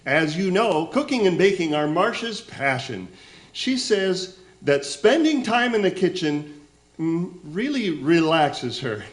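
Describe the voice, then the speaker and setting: An eager young nephew figure, played by a man, speaks in a lively, rather high voice. He is chirpy but nervous and halting, in the manner of radio comedy. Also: exaggerated voice. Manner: chirpy, halting, apologetic cover-ups. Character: nervous, eager, devoted nephew of a celebrity chef. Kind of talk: comedic radio play acting.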